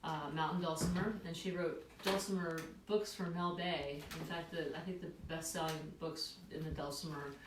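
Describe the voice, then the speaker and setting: A woman with a low voice, chatting gently between songs at a music session, speaking in a heartfelt, halting way. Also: fairly even intonation. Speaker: musician co-host. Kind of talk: casual chat between songs. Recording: roomy, distant mic with noise.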